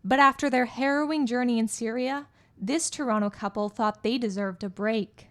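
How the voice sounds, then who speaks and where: A woman reporter giving scripted news narration in a measured, clear delivery as a voice-over. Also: fairly high pitch, a bit bright.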